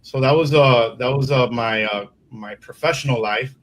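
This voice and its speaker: A man's voice: medium pitch, normal speed, poor audio. A middle-aged man from Miami, a sales consultant, telling his career story as an interview guest with many uh's and restarts. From Miami, Florida. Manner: rambling, many fillers and restarts. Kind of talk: casual interview talk.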